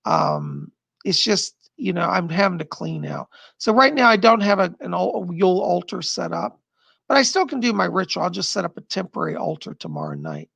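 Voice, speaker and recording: Man, middle-aged, strained husky voice, rambling chatty live-stream host, close dry recording. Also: high-pitched, wildly swooping pitch, normal speed, a bit bright, dry recording. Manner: chatty and rambling, laughing often. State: strained, losing his voice. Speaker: psychic livestream host. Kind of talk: casual live-stream chat reading viewer comments. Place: home.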